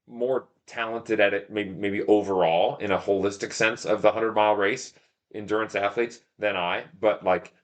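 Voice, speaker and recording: A man talking casually as a podcast guest, telling running stories in a rambling, self-deprecating way, thinking aloud. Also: on the low side. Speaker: podcast guest, ultramarathon runner and shop owner. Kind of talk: casual interview talk, telling stories about racing.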